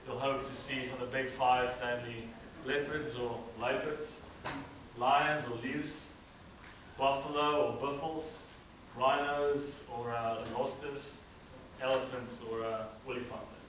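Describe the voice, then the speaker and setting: A man's voice: mid-range voice, unhurried. South African man presenting in an echoey hall. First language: Afrikaans. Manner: relaxed, hesitant with frequent uh fillers. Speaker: student presenter. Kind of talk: slideshow presentation to an audience. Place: event hall. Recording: roomy hall, mic at a distance.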